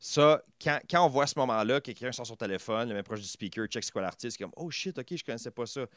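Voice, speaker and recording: A man's voice: medium pitch, fast talker, a bit bright, dry recording, studio quality. A man in his thirties with a Franco-Ontarian French accent, a podcast guest chatting casually, loose and hesitant with many fillers and restarts. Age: 30s. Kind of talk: casual conversation.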